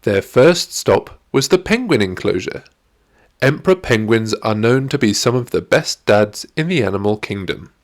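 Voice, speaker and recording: A man's voice: fairly low pitch, sing-song, moderate pace. A man reading a scripted children's story as an audiobook narrator.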